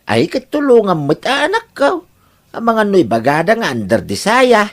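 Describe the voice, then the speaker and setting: A man's voice: high voice, wildly swooping pitch, measured pace, exaggerated voice. A man playing a chatty, teasing older father-in-law in an Ilocano audio drama, with a Filipino Ilocano accent. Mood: teasing, approving.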